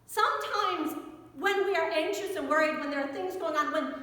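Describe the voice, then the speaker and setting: An American woman priest giving a sermon in a conversational way, with rhetorical questions and emphatic pauses. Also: extremely high pitch, bright, forceful tone. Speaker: Episcopal priest preaching. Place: church interior. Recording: very echoey church with long reverb.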